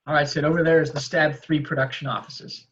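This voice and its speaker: A man's voice: on the high side, fairly even intonation, brisk, fairly bright tone, natural voice. Hesitant, well-meaning male deputy type, read by a man at a table read, unpolished delivery, muffled home sound. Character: earnest, protective male local lawman, slightly hesitant.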